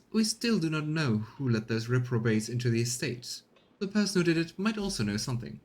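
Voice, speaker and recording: A man's voice: mid-range voice, close to an everyday voice. A man voicing a courtly, self-assured female governor in a video game, speaking in formal, flowery and deferential phrases. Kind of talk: read-aloud game dialogue.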